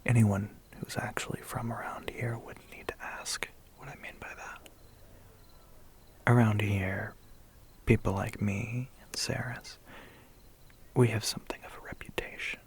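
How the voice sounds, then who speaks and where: A man's voice: fairly low pitch, fairly even intonation, measured pace, gravelly, long pauses. A man playing a smug, menacing, centuries-old vampire biker in an ASMR roleplay, whispering breathily with a condescending, teasing manner. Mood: smug warning.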